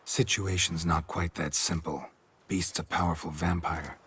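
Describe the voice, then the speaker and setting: Very deep, deadpan monster hunter. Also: male voice, a little slow, slight background noise. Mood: terse, grave. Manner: clipped, deadpan, drops sentence openings. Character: stoic gruff male monster hunter, weary and matter-of-fact. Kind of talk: video game voice acting.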